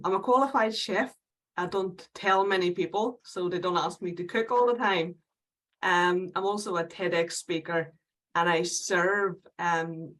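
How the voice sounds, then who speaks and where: Woman late 30s, slovak hungarian northern irish accent mix, calm measured guest on a webinar, lowish voice. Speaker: diversity specialist, panel guest. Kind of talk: online panel interview.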